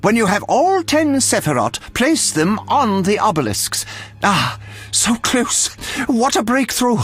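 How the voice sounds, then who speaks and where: An actor playing an eccentric, self-important alchemist in a video game, obsessive and theatrical, with fussy delivery. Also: male voice, sits high, big pitch swings, takes it slowly, fairly bright tone, breathy edge, heavily acted. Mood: excited, grandiose.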